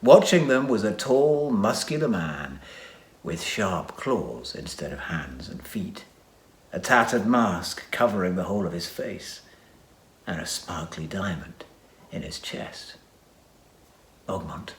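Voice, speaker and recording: A man narrating a children's adventure story with dramatic reading and hushed asides. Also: low voice, lively intonation, slow. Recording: echoey room.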